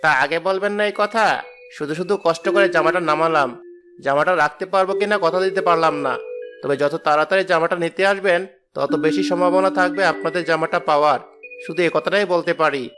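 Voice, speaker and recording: A man's voice: a little high, normal speed, lightly acted. A man voicing a brusque, businesslike shopkeeper in a cartoon. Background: background music.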